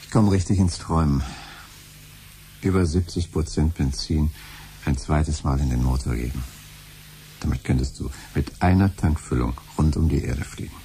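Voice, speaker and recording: An actor playing a hopeful, scheming husband who dreams of riches, in a 1970s German radio drama. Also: male voice, a bass voice, moderate pace, warm tone, a little hiss or hum, low-quality recording. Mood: dreamy, excited. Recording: 1970s radio play, faint music.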